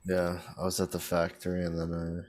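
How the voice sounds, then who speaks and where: Male comedian, early 30s, low monotone voice, casual podcast guest. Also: a little fast. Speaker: comedian being interviewed.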